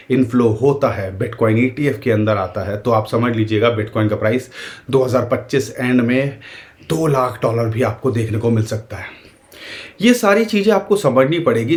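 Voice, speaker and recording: A man with an Indian accent explaining market charts to his viewers in casual, earnest talk, with a sore throat. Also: mid-range voice. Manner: earnest, emphatic, addresses viewers directly. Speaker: crypto market commentator.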